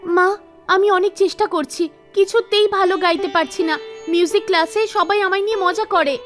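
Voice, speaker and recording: A woman's voice: very high voice, normal speed, fairly bright tone, soft background music. A woman voicing an earnest young village girl in a cartoon story, with a pleading manner. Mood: dejected.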